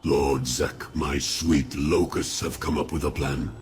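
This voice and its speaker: A man voicing a sinister undead insect lord who dotes on his locust swarm in a slow, menacing, gravelly croak, in fantasy game cutscene style. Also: rumbling low, steady tone, a little mellow, a little hiss or hum. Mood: eager, creepy.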